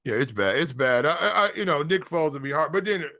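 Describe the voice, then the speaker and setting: Bright, higher-voiced man bantering about sports. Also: expressive, quick pace, dry recording, studio quality. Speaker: sports show co-host. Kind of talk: casual fantasy football banter.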